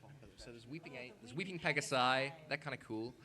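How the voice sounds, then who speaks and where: A man bantering casually on a convention panel, speaking in a hesitant, stammering, excitable way. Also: medium pitch, a little fast. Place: large convention panel room, indoors. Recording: live convention room with audience noise.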